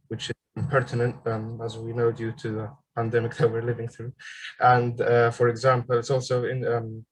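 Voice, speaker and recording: A man in his twenties from Egypt giving an online seminar presentation as a graduate student, pausing often with ums and uhs. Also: mid-range voice, low-quality recording. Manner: hesitant, frequent ums and uhs. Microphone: video call.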